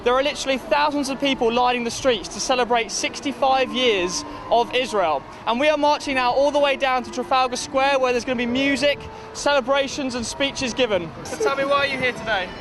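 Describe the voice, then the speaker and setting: Man reporting live from a busy street, high and bright voice, upbeat, handheld mic outdoors. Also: fairly quick, hardly any pauses. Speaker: field reporter. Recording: outdoor recording with street noise.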